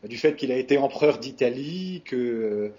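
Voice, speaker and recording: A man's voice: mid-range voice, lively intonation, normal speed, warm tone. A man from France, a voice actor, answering interview questions in a hesitant, casual way with many fillers and restarts. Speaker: voice actor guest. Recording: muffled lo-fi recording.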